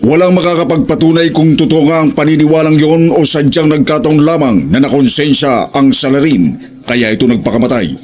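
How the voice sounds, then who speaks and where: A man's voice: a little high, a little fast, darkish tone. A Filipino man reading scripted horror story narration in a solemn, moralizing way.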